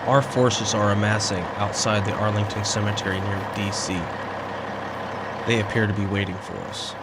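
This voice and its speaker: A man's voice: lowish voice, little pitch movement, normal speed, heavy background noise, close to an everyday voice. A man playing a solemn canine-feline hybrid creature who speaks formally as a rebel ally in an audio drama. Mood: calm, businesslike. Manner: formal, courtly phrasing. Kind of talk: scripted audio drama dialogue.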